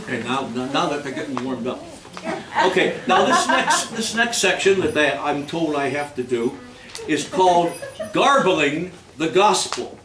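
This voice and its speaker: Male stage host, unhurried and halting, a bit high-voiced, for jokey live holiday readings. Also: big pitch swings, far from the mic, a crowd in the background. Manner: halting, repeats words, jokey. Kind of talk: informal live stage presentation with comic readings. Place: live before an audience in an echoey room. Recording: echoey, noisy hall recorded from afar.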